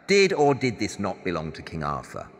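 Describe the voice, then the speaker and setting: Brisk, self-assured male detective, radio play, very animated pitch, curt questioning, hall reverb. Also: exaggerated voice. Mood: probing. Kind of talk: audio drama acting.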